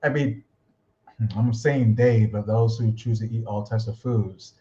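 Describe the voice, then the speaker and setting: A man, a guest in a casual conversation, relaxed and joking. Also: on the low side, unhurried. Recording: dull lo-fi sound.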